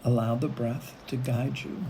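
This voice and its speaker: A woman leading a guided meditation, speaking in unhurried phrases with long pauses between them. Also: rumbling low, little pitch movement, warm tone, a little hiss or hum, low-quality recording. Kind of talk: guided meditation, gentle imagery narration.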